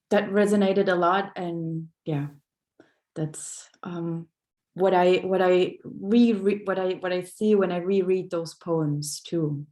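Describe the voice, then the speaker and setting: A woman from Germany, who grew up in Berlin, gives a soft-spoken, hesitant personal reflection as a panelist in an online seminar, often searching for words. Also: fairly low pitch. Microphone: video call microphone.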